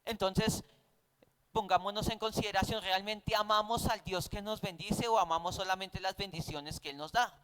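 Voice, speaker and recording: A man's voice: high-pitched, steady tone, moderate pace, a bit bright, clean tone. A man with a Colombian accent teaching a Bible class in a didactic, conversational way, often posing rhetorical questions.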